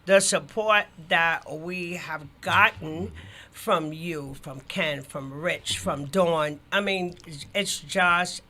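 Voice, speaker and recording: A woman's voice: on the low side, takes it slowly, bright, forceful tone. A middle-aged woman, a music group member, chatting casually and emphatically as an interview guest. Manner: emphatic, conversational, often agreeing.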